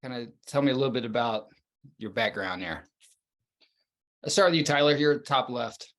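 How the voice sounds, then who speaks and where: A man hosting a podcast interview over a video call, speaking in a relaxed, rambling way with fillers and restarts. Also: medium pitch, moderate pace. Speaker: podcast host.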